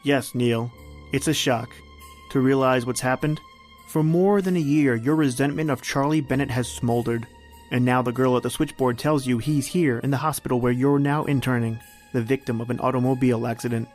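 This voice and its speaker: Male actor as suspense narrator, ominous, insinuating, deliberate, medium pitch, old-time radio drama, light music underneath. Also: steady tone, a little mellow, lightly acted. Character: ominous male narrator addressing the hero as you.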